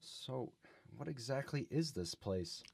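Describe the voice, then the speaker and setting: A man's voice: medium pitch, animated, slow pace, vocal fry, a little mellow, husky, no room sound, full character voice. A man playing an earnest, bewildered amnesiac newcomer in an improvised radio comedy drama. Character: bewildered amnesiac male newcomer to a space prison.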